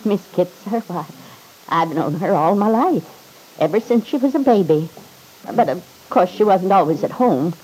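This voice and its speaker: A woman's voice: fairly low pitch, lively intonation, a little slow, mellow, a little hiss or hum, rough recording. An actress playing a nervous, loyal old household servant in an old-time radio drama, hesitant and deferential as she stammers. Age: older.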